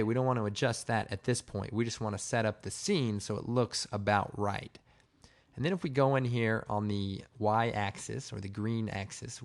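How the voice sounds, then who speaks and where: A man with a slightly hoarse, raspy voice giving a casual, informal software tutorial, explaining step by step. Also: on the low side. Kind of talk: casual screen-recorded tutorial narration.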